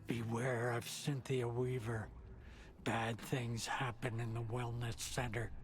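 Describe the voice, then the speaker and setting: A man's voice: mid-range voice, flat delivery, slow pace, a little hiss or hum, lightly acted. An actor playing a salty-tongued old rocker and seer in a video game, grandfatherly and cryptic, with a folksy, portentous way of talking. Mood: grave warning. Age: older. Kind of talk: dramatic game cutscene acting.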